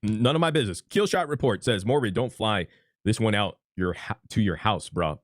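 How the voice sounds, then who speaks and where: A man's voice: on the low side, animated, fairly quick, no echo, clean studio sound. A man hosting a casual live stream, reading out viewer questions in an offhand, noncommittal way with some swearing.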